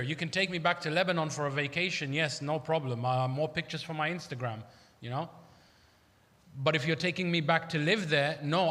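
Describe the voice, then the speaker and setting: Male preacher from Iraq, higher-pitched bright voice, emphatic conversational sermon delivery in a reverberant hall. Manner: conversational, emphatic, uses rhetorical questions. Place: large hall at an Islamic centre. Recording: echoey hall with long reverb.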